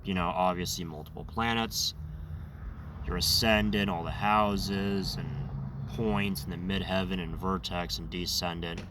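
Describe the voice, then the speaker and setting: A man hosting his own channel, talking in a loose, rambling monologue with a raspy, slightly nasal voice, congested and over-caffeinated. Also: deep voice, fairly even intonation, unhurried, far from the mic, noisy background. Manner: rambling, self-correcting. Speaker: channel host. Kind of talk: casual monologue.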